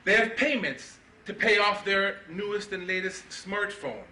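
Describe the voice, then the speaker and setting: A middle-aged man giving a keynote at a conference, with a sarcastic, ironic delivery and animated mock-indignant questions. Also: high-pitched, measured pace, a little hiss or hum. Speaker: keynote speaker. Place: conference hall. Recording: echoey hall, mic from far off.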